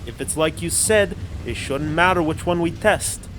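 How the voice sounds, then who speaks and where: A man playing a mild, curious junior scientist in an audio drama, with a hesitant, measured manner. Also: on the high side, noisy background, close to an everyday voice.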